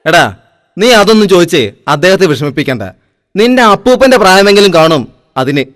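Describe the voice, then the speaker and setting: A man's voice: high voice, lively intonation, brisk, fairly bright tone, no room sound, clean studio sound. A man voicing a teasing, know-it-all friend who talks in warnings and jibes in a comic cartoon. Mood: mocking, warning. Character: mocking, know-it-all male village friend.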